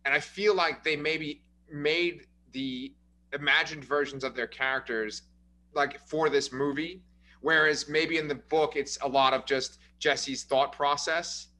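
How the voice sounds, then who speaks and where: A man's voice: a little high, steady tone, measured pace, bright, forceful tone. A man co-hosting a casual movie review, eagerly agreeing and sharing his thoughts. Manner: eager, agreeing, thinking aloud. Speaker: podcast co-host.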